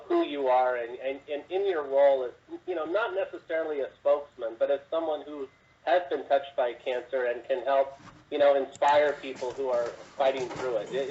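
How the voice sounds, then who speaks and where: Man, sports reporter, medium pitch, rambling conversational delivery, distant phone audio. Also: darkish tone. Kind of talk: conversational, rambling interview questions. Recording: dull, distant phone line.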